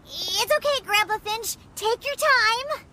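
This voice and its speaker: A tiny, determined little girl from a video game, extremely high and swinging in pitch. She is bossy and insistent, with noise clearly behind her. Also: lively intonation, slow pace, exaggerated voice. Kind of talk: video game cutscene voice acting.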